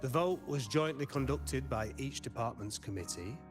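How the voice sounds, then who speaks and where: A male actor plays a formal academy official in a game. He speaks in a middle register with ceremonious, announcer-like delivery, and music plays clearly behind him. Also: mid-range voice, lively intonation, moderate pace, natural voice. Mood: formal, congratulatory.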